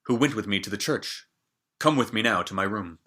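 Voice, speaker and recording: A man's voice: lowish voice, expressive, fairly quick, pushed and bright. A man voicing a stern young prince in a storybook reading, formal and commanding in manner. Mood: earnest, wondering. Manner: formal, commanding, archaic phrasing.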